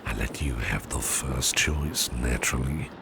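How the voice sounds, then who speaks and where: A man playing a caring, protective businessman boss in a gentle ASMR roleplay, speaking softly and reassuringly, often breathy and near a whisper. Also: very deep voice, noisy background, heavily acted. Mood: gentle, courteous. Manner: gentle, reassuring, unhurried, repeats phrases softly. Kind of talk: ASMR roleplay, soft personal attention.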